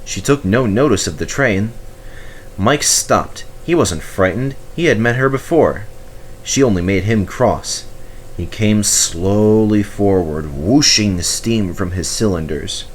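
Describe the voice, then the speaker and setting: A man's voice: on the low side, animated, takes it slowly, slight background noise. A man narrating a children's storybook expressively as its reader.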